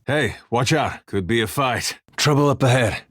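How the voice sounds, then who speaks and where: A man's voice: medium pitch, expressive, normal speed, dry recording, clean studio sound, close to an everyday voice. A man voicing an easygoing, wry farmer turned adventurer for a video game, with a folksy, drily humorous way of talking. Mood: wry, watchful.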